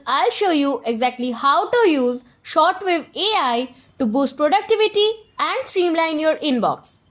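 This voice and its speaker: A woman with a high voice narrates a scripted software tutorial. Her intonation is very animated, moving freely up and down. She speaks directly to the viewer as an instructor, laying out each step in order. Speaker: tutorial presenter.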